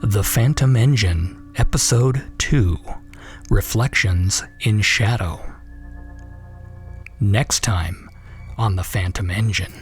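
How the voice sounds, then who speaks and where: A man narrating a suspense drama in a measured, ominous, scripted style. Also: fairly low pitch, faint music behind.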